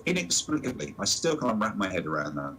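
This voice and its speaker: A man's voice: fairly low pitch, huge pitch swings, a little fast, sharp tone, a little hiss or hum. A man with a British accent chatting casually as a co-host, in a wry, wordy way. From Britain. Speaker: co-host of a talk show. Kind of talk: casual chat.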